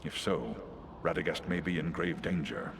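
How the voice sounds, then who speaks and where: An actor voicing a wise, solemn great eagle in a fantasy game, with a measured, archaic way of speaking. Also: male voice, a bass voice. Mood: pondering. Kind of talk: fantasy video game cutscene acting. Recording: clear background ambience noise.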